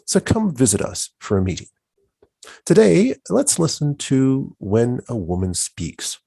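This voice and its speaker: Gravelly, lively-voiced male event host. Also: mid-range voice, a little slow, no echo. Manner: welcoming, announcing. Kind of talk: scripted event introduction.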